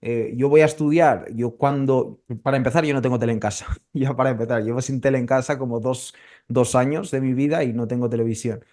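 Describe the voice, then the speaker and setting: A man's voice: mid-range voice, fairly even intonation, brisk, a little mellow. Male podcast host from Portugal, conversational. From Portugal, moved to Spain as a child.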